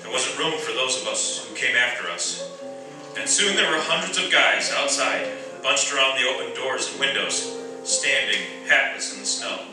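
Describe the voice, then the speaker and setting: An actor playing an earnest, anxious young American prisoner of war in a radio play. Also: male voice, mid-range voice, lightly acted. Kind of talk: radio theatre narration and dramatic acting. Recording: echoey hall from far off, music behind.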